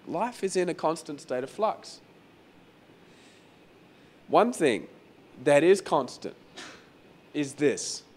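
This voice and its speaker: A man preaching a sermon as pastor in a croaky, hoarse voice, talking conversationally and repeating phrases for emphasis. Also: on the high side, sing-song, slow pace, pauses often, slight background noise. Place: venue hall during a church service.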